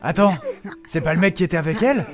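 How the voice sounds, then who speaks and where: A man's voice: high voice, fast talker, music in the background, close to an everyday voice. A man playing a pushy, falsely friendly young student thief in an amateur fantasy audio drama, informal and insinuating. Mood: bossy, alarmed.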